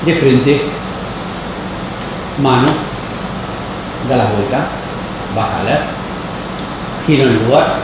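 Brief: casting director barking short orders, slow and clipped, in a roomy studio. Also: medium pitch. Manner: curt one-word commands. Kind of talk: giving casting commands. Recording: echoey studio, background hum.

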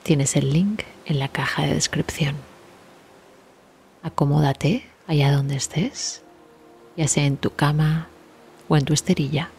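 A woman leading a guided relaxation meditation in a soft, breathy, near-whispered voice, soothing and gentle, with long pauses. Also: sits low, a little hiss or hum. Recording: steady ambient sea sound behind voice. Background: sea waves ambience.

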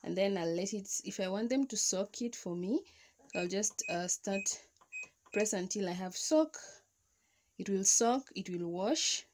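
A young woman talks into a phone held close while she works at home. Her voice sits in a middle pitch, and she explains each step in a loose, chatty way. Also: animated, unhurried, a little mellow, slight background noise. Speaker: vlogger. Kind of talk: casual home how-to demonstration. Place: indoors at home beside a washing machine.

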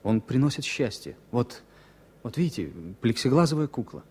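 An actor playing a fussy, superstitious former pilot as a passenger, hesitant yet insistent, in a 1970s Soviet radio play. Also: male voice, mid-range voice, animated, normal speed, mellow, slightly rough. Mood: insistent, wistful. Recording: faintly noisy vintage radio recording.

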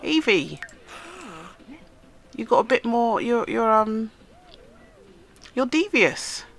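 Woman, gaming host, nasal, stuffed-up cold, gravelly, casual chatter to game characters. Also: fairly high pitch, big pitch swings, takes it slowly. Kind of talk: casual let's-play commentary.

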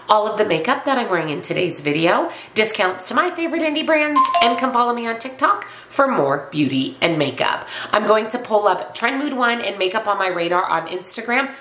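A woman in her forties with a cold, sounding raspy and nasal, chatting through a makeup review at home and reading product copy aloud. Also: medium pitch, a bit bright, roomy sound, a little hiss or hum. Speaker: beauty YouTuber. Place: home beauty room with shelves, indoors.

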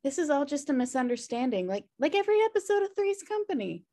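A woman's voice: sits high, sing-song, fast, mellow. A woman reading the part of a sardonic, wisecracking slacker sister in a sitcom table read over a video call. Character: flippant, sardonic slacker sister, adult twin. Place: indoor room at home on video call.

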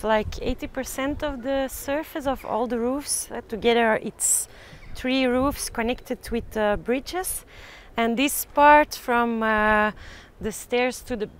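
Woman giving a rooftop garden tour. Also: fairly high pitch. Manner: halting, many uh fillers and restarts. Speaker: rooftop farm guide. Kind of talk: casual guided tour. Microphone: lapel mic.

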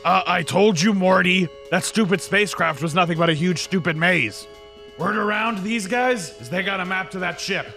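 Cartoon old scientist grandfather: high, croaky, arrogant, brash and stammering. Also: moderate pace, bright, forceful tone, soft background music. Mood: dismissive, brash. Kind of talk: animated comedy dialogue.

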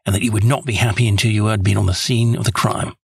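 A man reading an audiobook, voicing a self-assured, sly police inspector who talks in a brisk, dismissive way. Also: on the low side, fairly even intonation, creaky, a little mellow, slightly rough, no room sound, studio quality, heavily acted. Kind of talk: audiobook character voice.

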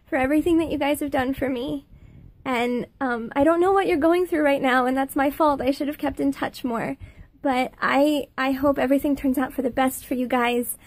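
A woman in her thirties with a high-pitched voice, recording a personal video message, earnest and halting with many pauses. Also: creaky. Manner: earnest, halting, many fillers, laughs between phrases. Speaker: former student giving a thank-you testimonial.

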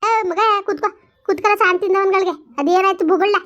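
A woman doing a shrill, foul-mouthed village elder sister. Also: steady tone, fast talker, a bit bright, highs cut off. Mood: scolding. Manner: berating, abusive, hectoring. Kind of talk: village family drama skit.

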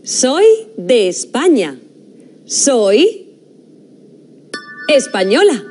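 A young woman with a Spain accent presents a TV Spanish course, speaking clearly and deliberately in a studio. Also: a little high, sing-song, a little mellow. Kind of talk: scripted educational presenting. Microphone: studio mic, framed close.

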